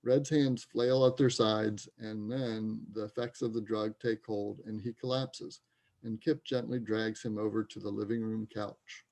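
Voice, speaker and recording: A man's voice: on the low side, fairly even intonation, measured pace, creaky, a little mellow. A man narrating an amateur play reading, delivering stage directions as scripted narration.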